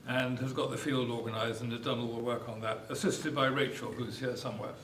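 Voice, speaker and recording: A man's voice: mid-range voice, level tone, a little fast, fairly bright tone. An older man giving an informal welcome speech as chairman, rambling a little and hesitantly repeating words. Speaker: club chairman. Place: echoey hotel meeting room. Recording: echoey room, distant mic, faint noise.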